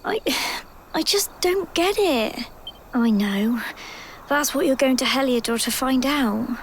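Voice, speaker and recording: An actress playing a gentle, wistful young village girl in a video game, earnest and soft-hearted, with an English accent. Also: sits high, expressive, unhurried, a little hiss or hum. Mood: sad, accepting.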